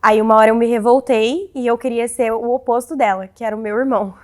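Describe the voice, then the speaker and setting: A 21-year-old woman with a slightly high voice answers interview questions. She weighs her ideas in a considered, analytical way. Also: steady tone, fast.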